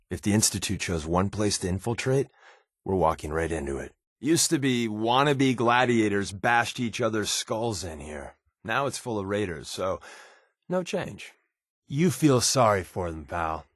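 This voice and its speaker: An actor playing a sardonic, wisecracking undercover resistance agent in a video game, with a casual, slangy, quipping delivery. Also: male voice, on the low side, no echo, clean studio sound. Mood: wary, wry. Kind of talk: video game character voice lines.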